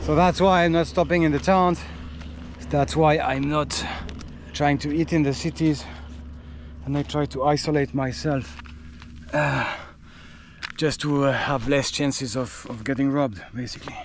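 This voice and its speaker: A man's voice: fairly high pitch, fairly even intonation, unhurried, slight background noise. A man in his forties from France, speaking with a French accent, chatting hesitantly with many fillers while filming himself outdoors on a camera held at arm's length. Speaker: cycling travel vlogger. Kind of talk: casual self-filmed travel vlog. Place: outdoors on a roadside in dry bush.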